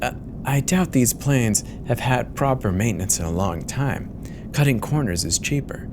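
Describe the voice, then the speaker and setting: A man's voice: mid-range voice, expressive, moderate pace, noisy background, lightly acted. A man playing an uneasy, cynical airline co-pilot in a horror audio drama, speaking in a tense, resigned way. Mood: grim, resigned. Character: uneasy, cynical male co-pilot in a failing plane.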